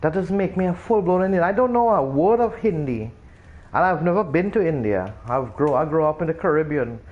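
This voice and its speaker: Streamer, Trinidadian man, higher voice, chatty and rambling, muffled lo-fi sound. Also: fairly quick. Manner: rambling, animated explaining with many restarts. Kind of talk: casual chat while gaming.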